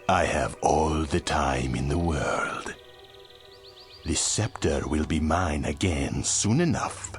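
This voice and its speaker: An actor playing a sinister, smug and patient villain in a video game cutscene, gloating with menace. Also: male voice, a bass voice, slow pace, faint music behind, exaggerated voice.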